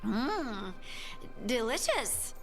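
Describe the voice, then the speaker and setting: A woman acting an earnest, people-pleasing dwarf adventurer from the countryside in a video game, expressive and a little hesitant. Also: a little high, drawn-out words, over music, heavily acted. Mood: awkward, sheepish.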